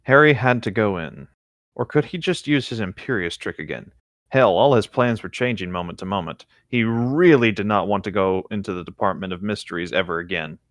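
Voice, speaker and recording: A man's voice: lowish voice, expressive, fairly quick, fairly bright tone, dry recording, clean studio sound. A man co-hosting a casual read-aloud of fan fiction, with chatty side remarks.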